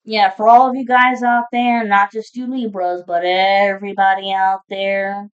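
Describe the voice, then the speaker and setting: A woman's voice: mid-range voice, flat delivery, measured pace, smooth, dull audio. A woman giving a casual, unscripted tarot reading to viewers, speaking in a blunt, informal, foul-mouthed manner. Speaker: tarot reader hosting a zodiac reading.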